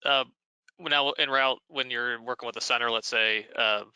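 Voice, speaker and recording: An American man hosting a question-and-answer session, phrasing detailed questions in a measured, conversational way. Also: mid-range voice, steady tone, bright, forceful tone, clear, resonant tone, dry recording, lo-fi sound. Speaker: host interviewer. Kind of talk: conversational moderated Q&A.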